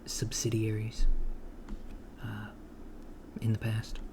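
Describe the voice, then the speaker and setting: Man playing a slow, hushed villainous henchman recruiter. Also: lowish voice, completely flat delivery. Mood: businesslike. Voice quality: soft-spoken, often slipping into whisper. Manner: hushed, halting, matter-of-fact. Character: polite, bureaucratic male henchman recruiter at an evil corporation. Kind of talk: ASMR roleplay, improvised job interview. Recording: clearly noisy close recording, keyboard typing. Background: computer keyboard typing.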